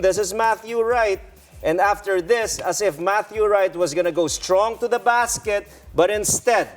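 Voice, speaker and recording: A middle-aged man, a coach explaining basketball plays in a deliberate, emphatic teaching manner in a TV studio. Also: high-pitched. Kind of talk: explanatory play breakdown.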